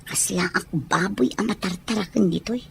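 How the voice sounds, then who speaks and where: An actress playing a bored, questioning young wife kept indoors in an Ilocano radio drama. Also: female voice, medium pitch, moderate pace, vocal fry, slight background noise, natural voice. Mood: bored, sulky.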